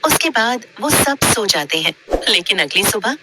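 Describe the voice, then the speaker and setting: A woman's voice: mid-range voice, big pitch swings, normal speed, very noisy. A woman narrating a scripted horror story as a storyteller.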